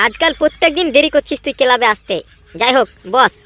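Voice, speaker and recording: A woman's voice: sits high, quick pace, fairly bright tone. A woman voicing a needling young male sidekick in a comedy cartoon, scolding and full of questions.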